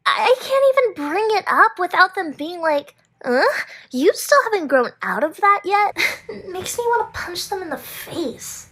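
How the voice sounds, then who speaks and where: A woman's voice: extremely high pitch, very animated, a little slow, hardly any pauses, fairly bright tone, exaggerated voice. A woman voicing a feisty, defensive teenage schoolgirl in an anime visual novel fan dub, huffy, flustered and bossy.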